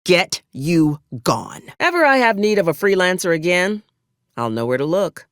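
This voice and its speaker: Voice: mid-range voice, sing-song, normal speed, a bit bright, dry recording, studio quality. An actor playing a stern, pragmatic military officer in a video game, wry and coolly menacing, with clipped, formal frontier phrasing. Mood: cold, threatening, then dry, wry. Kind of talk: scripted video game dialogue.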